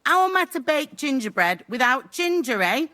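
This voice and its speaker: An actress playing an impatient, grumbling castle cook in a video game. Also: female voice, high voice, sing-song, moderate pace, pushed and bright, exaggerated voice. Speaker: housewife.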